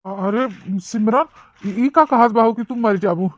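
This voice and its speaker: A man's voice: high voice, unhurried, dry recording. A man voicing a meek, simple married office man in a cartoon comedy, hesitant and stammering, with an Awadhi accent. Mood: smitten, confessing. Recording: muffled, dull, lo-fi sound.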